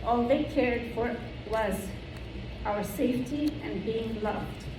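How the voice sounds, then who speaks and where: A woman, giving an earnest prepared speech to her club and reading slowly from notes. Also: a little high. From Lebanon. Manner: slow, earnest, read from notes. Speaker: club member giving a talk. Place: club meeting room. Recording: roomy room from far off, with background noise.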